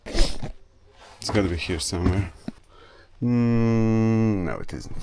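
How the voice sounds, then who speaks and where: Croatian man, non-native English, low-ish voice, relaxed work-along vlog, action cam outdoors with clear noise behind. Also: takes it slowly. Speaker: vlogger. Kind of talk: casual vlog narration while doing chores. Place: outdoors at a rural summer house. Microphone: action camera.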